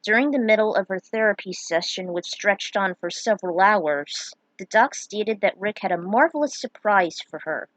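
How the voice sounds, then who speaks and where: A woman's voice: medium pitch, expressive, moderate pace, hardly any pauses, no room sound, low-quality recording. A woman reading a fan fiction story aloud, dramatic in her telling and given to opinionated asides. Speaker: narrator.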